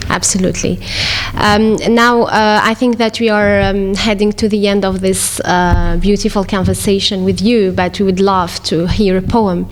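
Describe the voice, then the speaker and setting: A woman's voice: mid-range voice, fairly even intonation, moderate pace, hardly any pauses. A woman who is a native French speaker, hosting an interview and reading poetry. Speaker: interview host.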